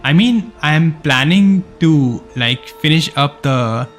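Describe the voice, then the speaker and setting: Slow-talking casual male game streamer. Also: on the high side, lively intonation, soft background music. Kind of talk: casual live gameplay commentary.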